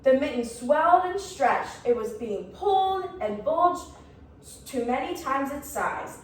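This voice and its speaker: Echoey, expressive woman reading a picture book. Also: high-pitched, unhurried. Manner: expressive, pointing out pictures to listeners. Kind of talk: read-aloud narration with asides to young listeners. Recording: roomy, echoing, distant mic, faint noise.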